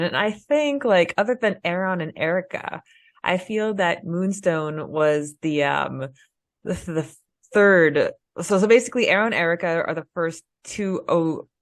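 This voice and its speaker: A woman co-hosting a podcast in casual fan discussion, halting as she thinks aloud. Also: sits low, dry recording, studio quality. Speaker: podcast co-host.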